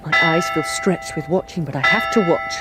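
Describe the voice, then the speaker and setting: An actress playing a tired, obsessive young nun who murmurs feverishly and then snaps out sharp questions. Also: female voice, deep voice, moderate pace, natural voice.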